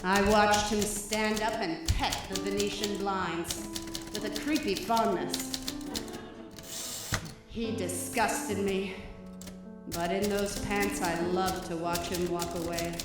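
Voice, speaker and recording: A woman's voice: medium pitch, steady tone, takes it slowly, natural voice. A woman playing a scheming, scornful femme fatale in a parody of 1940s noir radio, with sardonic, melodramatic delivery. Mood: scornful narration. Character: scheming, scornful female femme fatale, brilliant but conniving murderous widow. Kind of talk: parody 1940s noir radio acting, alternating narration and dialogue. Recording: echoey live stage, distant mic, music.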